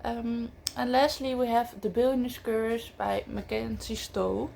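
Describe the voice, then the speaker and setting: Chatty woman with a slightly gravelly voice. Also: fairly high pitch, flat delivery, a little slow, a little mellow, a little hiss or hum. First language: not English. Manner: chatty, frequent fillers and self-corrections. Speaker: book vlogger. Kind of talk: casual book review chat.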